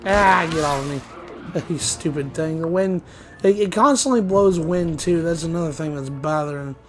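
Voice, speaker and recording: A man with a slightly hoarse, groggy voice giving loose, rambling let's play commentary. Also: on the high side. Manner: rambling, loose, with many fillers and restarts. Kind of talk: casual gameplay commentary.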